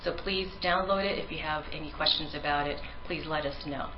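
A woman's voice: deep voice, a little fast, dull audio, slight background noise. A woman researcher giving a conference presentation in an earnest manner, with frequent ums and restarts; her first language is Tagalog.

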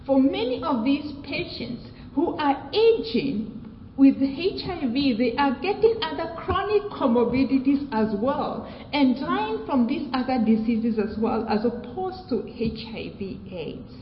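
A woman from Kenya with a British accent, giving a measured academic presentation with fillers and restarts. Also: sits high, very animated. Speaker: research presenter. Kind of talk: academic conference talk. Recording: echoey hall, dull sound, faint noise.

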